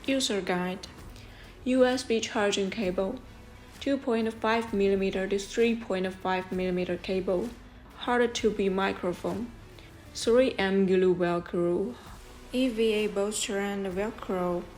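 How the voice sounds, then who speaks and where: A woman's voice: medium pitch, unhurried, darkish tone. A woman presenting a product review as scripted narration, explaining things step by step in an instructional way.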